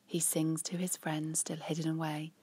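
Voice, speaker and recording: Woman, lower-pitched, husky breathy voice, expressive storybook narration, dramatic delivery for young children. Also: moderate pace. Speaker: author reading own children's story.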